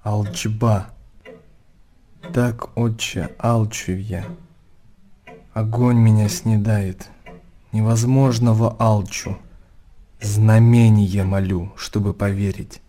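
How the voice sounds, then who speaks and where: An actor playing a fervent ascetic monk tormented by doubt in a radio drama, pleading intensely in drawn-out phrases. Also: male voice, fairly low pitch, flat delivery, mellow.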